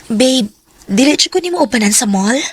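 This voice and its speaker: A woman's voice: fairly high pitch, animated, a little fast, close to an everyday voice. An actress playing a young woman hurt by her cold boyfriend in a radio drama, with a Cebuano Filipino accent. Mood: pleading, resigned. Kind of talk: Cebuano radio drama acting with first-person narration.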